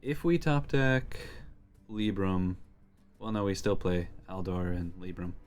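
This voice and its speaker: A man's voice: low-pitched, unhurried, a little mellow, smooth, soft background music. A man giving casual gameplay commentary, thinking aloud as he weighs his options. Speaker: host playing and commenting on a card game.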